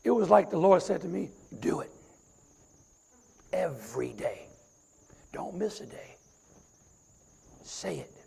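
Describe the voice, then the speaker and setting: A middle-aged man with a raspy voice, a deacon preaching a sermon and reciting scripture from memory. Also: high voice, big pitch swings, slow pace, long pauses, a little mellow.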